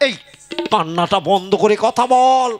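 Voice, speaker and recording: A man's voice: high voice, unhurried. A man voicing an older village father in a comic puppet show, chiding and coaxing with questions, with music behind. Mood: scolding.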